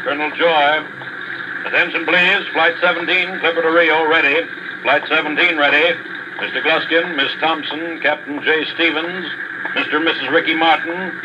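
A man's voice: fairly high pitch, fairly even intonation, normal speed, lightly acted. A man playing a formal airport announcer. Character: airport announcer calling flights. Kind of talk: 1940s radio drama acting. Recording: dull old radio broadcast with noise.

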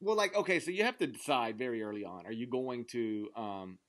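A man's voice: mid-range voice, animated, fairly quick, a bit bright. An American man in his thirties hosting a sports podcast in casual chat, rambling emphatically and leaning on filler words like "like". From Memphis area, southern United States. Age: 30s. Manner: rambling, emphatic, says "like" constantly.

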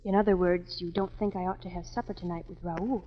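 An actress playing a poised young opera singer, polite, light and gracious, in a 1940s radio drama. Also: female voice, on the low side, steady tone, fast talker, close to an everyday voice. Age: young adult. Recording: dull old 1940s radio broadcast.

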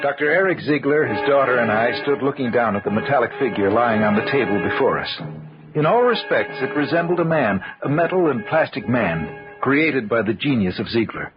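An actor in an old-time radio drama playing a skeptical, uneasy scientist who recounts the tale in a measured, serious way. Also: male voice, mid-range voice, animated, natural voice. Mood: grave narration. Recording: muffled vintage radio recording, prominent music.

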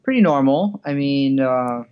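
Muffled lo-fi audio, man from Hawaii, casual interview guest, hesitant, halting, mid-pitched. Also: expressive, clean tone. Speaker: podcast guest, professional golfer.

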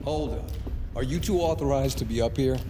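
An actor playing a gruff security guard in an audio drama, a taunting bully who turns cowardly. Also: male voice, mid-range voice, brisk, over music, natural voice. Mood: gruff, mocking. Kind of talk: audio fiction drama acting.

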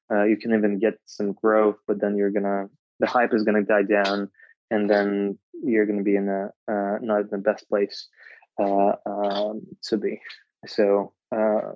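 A man, a startup founder answering interview questions in a halting way, with many filler sounds. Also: lowish voice, darkish tone, no echo, lo-fi sound. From Russia.

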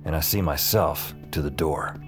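A man's voice: a bass voice, normal speed, over music. An actor playing a wry, self-deprecating young man who narrates his strange adventures in a dry, conversational audio drama style. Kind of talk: first-person scripted audio drama narration.

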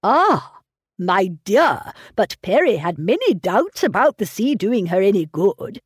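A woman reading aloud voices a fussy, anxious elderly gentleman fretting over his grown daughter. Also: mid-range voice, sing-song, measured pace, husky, no echo, studio quality. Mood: fretful. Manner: fretful, coddling. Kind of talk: audiobook character reading.

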